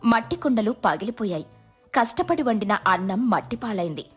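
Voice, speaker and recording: A woman's voice: mid-range voice, lively intonation, moderate pace, breathy edge, soft background music. A woman storyteller giving scripted, dramatic narration of a village tale.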